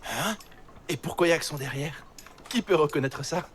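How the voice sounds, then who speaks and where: A man playing an earnest, ambitious young recruit in a French-dubbed animated film. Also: a little high, normal speed, lightly acted. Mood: nonchalant, curious. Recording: very noisy film soundtrack.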